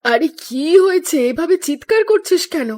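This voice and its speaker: Casting: woman playing fussing middle-aged mother-in-law, cartoon drama, notably high pitch. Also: expressive, moderate pace, a little mellow. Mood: alarmed. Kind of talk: cartoon voice acting.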